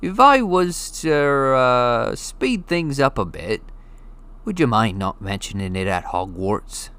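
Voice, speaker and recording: A man reading an audiobook, voicing a gruff, hearty, friendly giant with rough, folksy, blustery speech. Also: medium pitch, animated, slow, sharp tone, pitched above the performer's own voice. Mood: sheepish, hearty. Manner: folksy, blustery, dropped grammar. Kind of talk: audiobook character voice.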